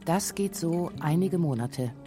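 A woman's voice: low voice, lively intonation, measured pace, warm tone, faint music behind. A woman narrating a documentary feature from a script.

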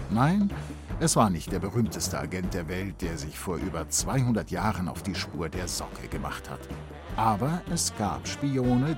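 A man narrating a scripted story for children in a playful style. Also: fairly low pitch. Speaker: narrator of a quiz story segment.

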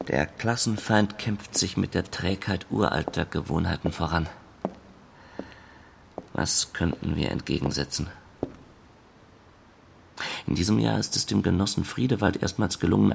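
A man reading scripted literary narration in a measured, formal, factual manner. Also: deep voice, gravelly, slight background noise.

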